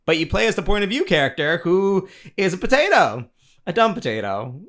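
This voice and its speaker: A man giving a casual, rambling movie review in an animated, casually sweary way, as an online reviewer. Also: high voice, brisk. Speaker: YouTube game and film reviewer.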